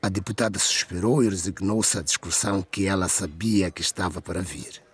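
Male narrator for scripted radio fiction, rough and breathy tone, lively melody, dry studio sound. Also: deep voice, fairly quick, no room sound.

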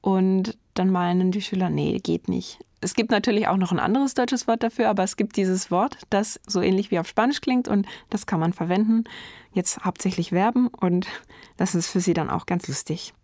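A woman in her thirties, a German teacher from Germany, speaks in a thoughtful, friendly way about her work. Her voice sits at an ordinary middle pitch. It was recorded close and dry, with no room sound around it. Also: normal speed, dark tone, no echo. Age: 30s. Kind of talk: personal portrait interview.

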